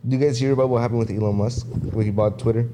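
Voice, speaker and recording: A young man cuts into a casual chat among friends with blunt, deadpan teasing. He talks fast. Clear noise runs behind the recording. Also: mid-range voice.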